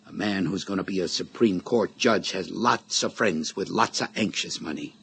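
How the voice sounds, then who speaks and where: An actor playing a sly, menacing middle-aged blackmailer in a radio drama, taunting with mock-friendly, folksy familiarity. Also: male voice, low-pitched, normal speed, vocal fry, a bit bright. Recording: radio drama recording with background noise.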